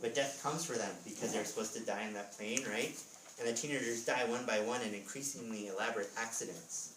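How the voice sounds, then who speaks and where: A man reads a story aloud in an expressive storybook style, slipping into casual asides. His voice sits at a middling pitch and is picked up from a distance in an echoey room with faint noise. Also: brisk. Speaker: author reading from his book at a school readers theatre.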